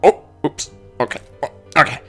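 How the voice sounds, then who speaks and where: A man's voice: normal speed, faint music behind. A man giving casual, playful video game commentary as a let's play host, talking back to the game's characters.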